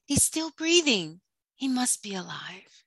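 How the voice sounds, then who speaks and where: Lady doing a teen mermaid princess, high earnest voice, lovesick and stubborn, storybook style. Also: big pitch swings, takes it slowly, a bit bright, breathy edge, dry recording, exaggerated voice. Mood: hushed relief. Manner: earnest, pleading.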